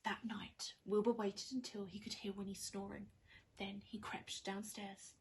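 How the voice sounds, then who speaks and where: A woman reading a children's story aloud like a primary school teacher, with expressive, dramatised narration. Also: medium pitch, measured pace, noisy background.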